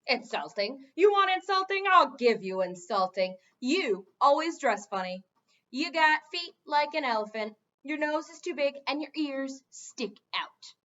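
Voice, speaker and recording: A cranky wooden gargoyle from a storybook, acted by a woman, shouting and complaining with indignation. The voice leaps around in pitch and sits fairly high. The recording is a little dull. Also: animated, unhurried, exaggerated voice. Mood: furious shouting.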